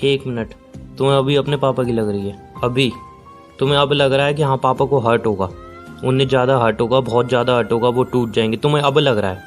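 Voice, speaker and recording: A man's voice: medium pitch, fairly quick, faint music behind, natural voice. A young man playing a hurt, incredulous boyfriend in an audio call drama, pressing and pleading in an exasperated way. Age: young adult. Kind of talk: acted phone-call drama in conversational Hindi with some English.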